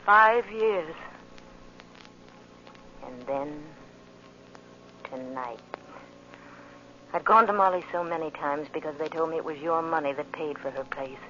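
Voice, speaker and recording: A woman's voice: sits low, measured pace, long pauses, sharp tone. An actress playing a grief-stricken, vengeful young widow in 1940s radio drama, intense and dramatic, repeating her phrases obsessively. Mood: bitter, haunted. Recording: muffled, noisy old radio recording.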